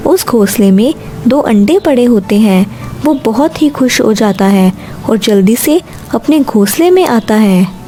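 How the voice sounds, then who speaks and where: A woman's voice: fairly high pitch, lively intonation, unhurried, warm tone. A woman narrating a scripted children's story as the storyteller. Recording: faint music behind the voice.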